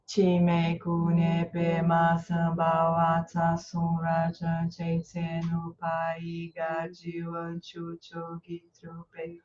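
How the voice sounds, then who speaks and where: A woman leading an online dharma study group, slowly chanting long-life prayers and then telling stories in a lively, affectionate way. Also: on the low side. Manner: slow recited chants and warm animated storytelling. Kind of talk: chanting prayers and informal teaching. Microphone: online call microphone. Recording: slightly muffled lo-fi sound.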